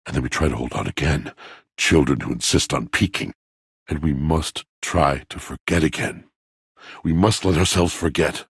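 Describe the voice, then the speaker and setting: A very low, rough-edged male game character, a lovelorn lover of poetry. He speaks in grand, florid swells with theatrical pauses. The sound is close and dry. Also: no room sound, exaggerated voice. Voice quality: deep, gravelly. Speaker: voice actor in a video game.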